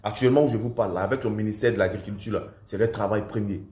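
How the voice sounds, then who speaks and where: A man's voice: lowish voice, fairly even intonation, rapid-fire, darkish tone. A man with an Ivorian French accent and a cold, giving indignant political commentary that rises to emphatic outbursts. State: has a cold. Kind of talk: impassioned video commentary. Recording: roomy echo.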